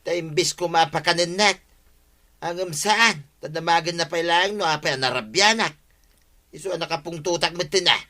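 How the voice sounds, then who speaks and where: Man acting drunken village husband, very bright forceful voice, highish pitch, Ilocano accent, blustering, wheedling, radio comedy drama. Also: normal speed. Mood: sheepish, self-justifying.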